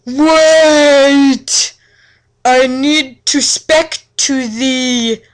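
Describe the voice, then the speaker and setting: A woman voicing a melodramatic, lovesick teenage boy vampire in an overwrought, drawn-out, archaic way. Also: high-pitched, steady tone. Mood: solemn, intense. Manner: overwrought, drawn-out, wailing delivery. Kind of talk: mocking dramatic reading of fanfiction.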